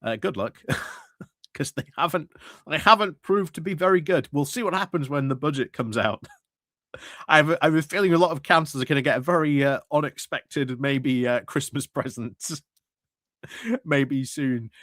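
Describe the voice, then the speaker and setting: A man's voice: fairly high pitch, moderate pace, a bit bright, no room sound, studio quality. A man giving sarcastic live commentary over a council meeting, mocking the speakers and laughing between his remarks.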